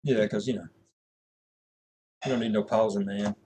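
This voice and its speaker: A man's voice: fairly low pitch, steady tone, moderate pace, a little mellow, a little hiss or hum. A young man co-hosting a casual chat, dropping short dry teasing remarks. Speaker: co-host.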